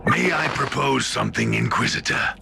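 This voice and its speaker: Game role: stern, dour tactician with a very creaky, gravelly voice, disdainful, over music. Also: male voice, medium pitch, moderate pace, bright, forceful tone, exaggerated voice. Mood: formal, scornful. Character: dour male tactical adviser, formal and scornful. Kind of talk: dramatic game cutscene dialogue.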